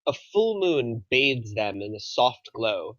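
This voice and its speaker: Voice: mid-range voice, sing-song, slow, a bit bright, no room sound. A narrator reading out stage directions from a film script at a table read. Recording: dry but dull, muffled audio.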